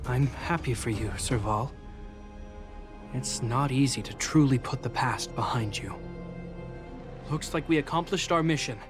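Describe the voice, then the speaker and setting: Young male guard captain for a game, man acting, mid-pitched, composed, dutiful, sincere; prominent music underneath. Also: a little slow, long pauses, natural voice. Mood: warm, sincere. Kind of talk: video game character dialogue.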